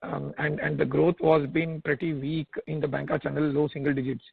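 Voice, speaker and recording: Halting Indian man on a phone line. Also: a little high, little pitch movement, creaky, breathy edge. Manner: halting, repetitive, stammers over repeated words. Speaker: analyst asking questions on an earnings call. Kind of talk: spontaneous questioning on a conference call. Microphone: telephone conference call. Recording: tinny narrowband phone line.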